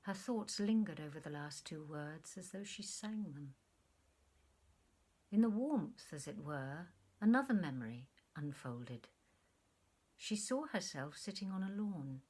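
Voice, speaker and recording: A woman actor reading a short story as literary narration, in an expressive, measured storytelling manner. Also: sits low, breathy edge.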